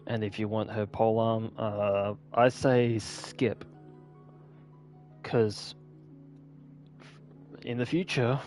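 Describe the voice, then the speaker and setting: A man's voice: lowish voice, a little mellow. A man giving casual, unscripted gameplay commentary in an offhand, rambling way. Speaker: gaming video host.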